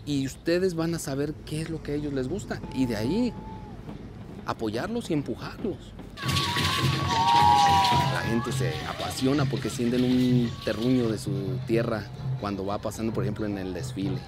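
A man in his thirties from Mexico, a dance and theater group director, speaking earnestly and at a measured pace in an outdoor interview, with frequent fillers and restarts. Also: mid-range voice. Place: outdoors at a street parade. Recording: outdoor clip with music behind. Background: festive music.

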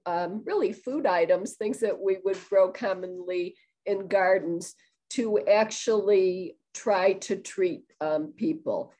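Older woman guest speaker, nasal from a cold, chatty, halting delivery on a webcam. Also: mid-range voice, dark tone, low-quality recording. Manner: chatty, with frequent ums and restarts. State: has a cold. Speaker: guest historian. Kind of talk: casual lecture over video call. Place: home room indoors. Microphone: video call webcam.

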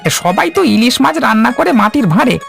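Man as friendly cartoon potter, high creaky voice, persuasive village tradesman, explaining. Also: animated, fast, a bit bright, faint music behind, heavily acted. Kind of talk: Bengali cartoon voice acting.

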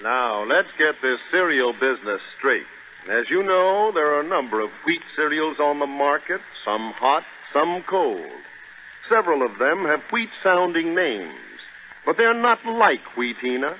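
A man's voice: fairly high pitch, very animated, unhurried. A man announcing an advertisement in emphatic 1930s radio style. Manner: emphatic, spells out words. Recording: tinny, hissy 1930s radio recording.

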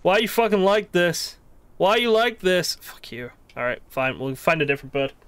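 Animated high-voiced man streaming a game. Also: moderate pace, clear, resonant tone, no echo. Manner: excitable, exasperated muttering to self and game creatures. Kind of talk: casual live gaming commentary with mild swearing.

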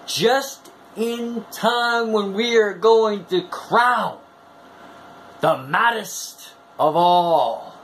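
A man's voice: high-pitched, fairly bright tone, slight background noise. A man in his forties delivering a theatrical wrestling-promo monologue to camera as a bombastic showman, drawing out his words. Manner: bombastic showman, drawn-out words, sudden whoops. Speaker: wrestling fan video host. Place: small room at home.

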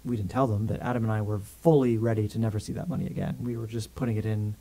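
A man's voice: fairly low pitch, fast talker, low-quality recording. A man in his thirties from Canada, a filmmaker guest chatting in an interview in a small studio, talking animatedly on a condenser mic. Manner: animated, gestures a lot with hands while talking. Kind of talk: casual interview chat. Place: small studio with grey backdrop. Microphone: studio condenser mic on stand.

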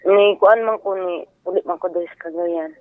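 A man's voice: high voice, steady tone, moderate pace, no echo, narrow, tinny audio. A man with a Filipino accent chatting casually as a caller on a radio show. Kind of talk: casual personal chat. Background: music underneath.

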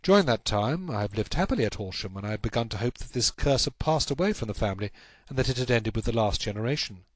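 A man voicing an anxious, helpless young gentleman in a storybook, recounting his troubles in an earnest, measured, formal way. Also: medium pitch, animated, no room sound, close to an everyday voice. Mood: grave, uneasy. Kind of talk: audiobook character acting.

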